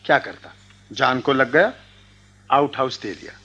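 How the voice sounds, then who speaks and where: A man's voice: mid-range voice, measured pace, sharp tone, breathy edge, lo-fi sound. A middle-aged actor playing a stern, condescending well-off father in a radio play, speaking in a curt manner. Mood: dismissive, weary. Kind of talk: Hindi radio play acting.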